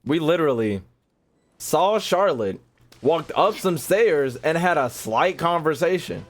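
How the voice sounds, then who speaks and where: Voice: mid-range voice, expressive, unhurried. A gaming commentator, reacting in casual slang with joking exclamations. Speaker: gaming video creator reacting to gameplay. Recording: game music behind the voice.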